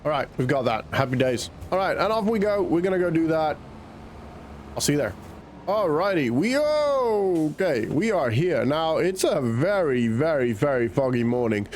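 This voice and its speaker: Croaky, nasal-voiced man with a cold. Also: on the high side, big pitch swings, moderate pace, darkish tone, a little hiss or hum. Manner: chatty, thinks aloud while playing. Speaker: gaming channel host. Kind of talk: casual gameplay commentary.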